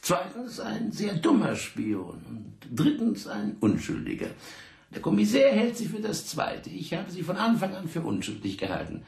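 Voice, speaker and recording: A blunt, condescending intelligence officer in a radio drama. The voice is fairly high for a man and gravelly, with very wide swings in pitch. It rings in an echoey room. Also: wildly swooping pitch, moderate pace, a little mellow, exaggerated voice. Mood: blunt, dismissive. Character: big, blunt French intelligence officer, condescending and coolly calculating. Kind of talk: German radio drama dialogue.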